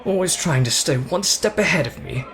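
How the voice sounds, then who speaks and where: A man's voice: on the high side, lively intonation, normal speed, fairly bright tone. A man voicing a brash, playful young British show-off who is boastful, teasing and theatrical in an ASMR roleplay. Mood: indignant, scheming. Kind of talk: ASMR roleplay addressed to the listener.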